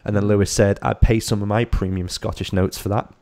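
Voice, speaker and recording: A man's voice: lowish voice, flat delivery, darkish tone. A man co-hosting a live podcast stream, reading viewer comments aloud and teasing his co-hosts. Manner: joking, quick to tease. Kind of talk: casual live-stream chat.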